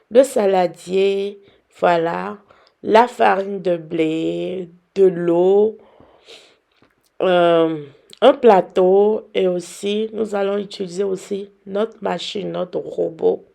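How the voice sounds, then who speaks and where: Hoarse young woman, unhurried and gravelly, teasing viewers while she cooks. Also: mid-range voice, mellow. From Benin. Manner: chatty, teasing, addresses viewers directly. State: hoarse from a cold. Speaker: cooking vlogger. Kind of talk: casual cooking demonstration.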